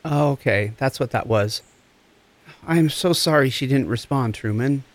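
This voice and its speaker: A man's voice: medium pitch, normal speed, dry recording, lightly acted. A man acting the part of a compassionate recovery coach in an audio drama, tender, soothing and earnest. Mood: concerned, sympathetic. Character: compassionate, gentle, encouraging recovery coach and friend.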